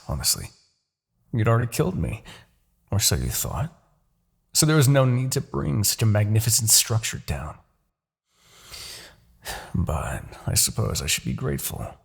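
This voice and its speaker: A man playing a smug, seductive, manipulative demon king in a fantasy audio roleplay, with a gravelly, husky voice and a taunting, theatrical, condescending delivery. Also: on the low side, heavily acted. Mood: smug, mocking. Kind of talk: fantasy ASMR roleplay acting.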